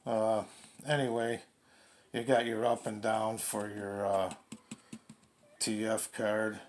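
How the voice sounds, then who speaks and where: A man giving casual, halting, low-key unboxing commentary, thinking aloud as a hobbyist reviewer. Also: flat delivery. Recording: clearly noisy home video recording.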